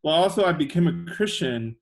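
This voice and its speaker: A young man from China, a podcast guest telling his story in a soft-spoken, rambling way. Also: on the high side, expressive, brisk. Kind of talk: casual interview.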